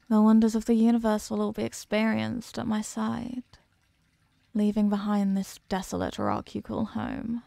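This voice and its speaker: A woman plays an ancient female eldritch being in a horror audio roleplay. She is possessive and seductively menacing, looking down on mortals as tiny things. The voice is low-lit and mellow, deliberate in every word, and very close and dry, as if nothing stands between her and the listener. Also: creaky, dry recording. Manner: slow, deliberate, condescending, commanding. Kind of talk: scripted ASMR horror roleplay.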